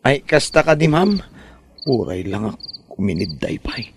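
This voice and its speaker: A man with an Ilocano accent playing a lovesick, dejected young delivery rider in a comedy drama, halting and plaintive. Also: mid-range voice, sing-song, noisy background. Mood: polite, flustered. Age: young adult.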